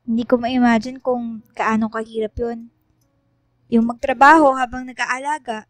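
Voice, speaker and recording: A woman playing a concerned, kind-hearted schoolgirl friend in a radio drama, expressive and sympathetic. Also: fairly high pitch, normal speed, no room sound, close to an everyday voice. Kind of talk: conversational radio drama acting.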